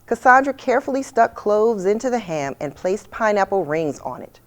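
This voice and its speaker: A woman reading a children's picture book aloud with expressive storytelling delivery. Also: mid-range voice, normal speed. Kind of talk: storybook read-aloud narration.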